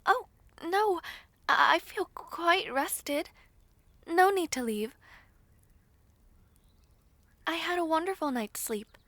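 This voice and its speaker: A woman plays a humble young former maid in a fantasy audio roleplay. Her voice is high and soft, close and dry, and she speaks slowly with hesitant, apologetic courtesy, easily flustered by attention. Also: dry recording, full character voice. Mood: flustered, polite. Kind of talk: scripted audio roleplay acting.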